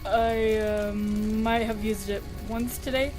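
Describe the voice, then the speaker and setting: A woman's voice: medium pitch, steady tone, normal speed, close to an everyday voice. A woman voicing a tired, easygoing male skeleton older brother in a comic dub, speaking casually. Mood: sheepish. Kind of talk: animated comic dub acting. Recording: music behind the voice.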